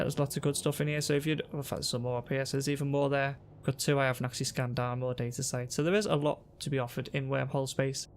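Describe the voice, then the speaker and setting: Guy on a headset, sore throat, bit gravelly, flat chill tutorial voice, faint hiss. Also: medium pitch. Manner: relaxed, explanatory, talks to viewers. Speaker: gaming youtuber. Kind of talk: casual gameplay tutorial. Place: home room at a desk.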